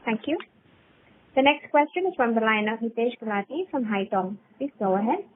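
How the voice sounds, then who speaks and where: Female call operator, fairly high voice, formal scripted delivery, tinny phone audio, clear background noise. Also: animated, normal speed. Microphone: phone line. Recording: noisy tinny phone line.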